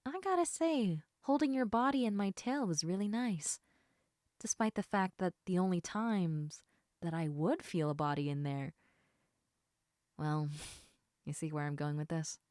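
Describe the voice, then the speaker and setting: A sleepy lamia girl, played by a woman speaks slowly in a slightly low female voice. She rambles casually, teasing and affectionate, as in an intimate roleplay audio. The sound is dry and close, with no room around it. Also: a little mellow, no room sound, natural voice.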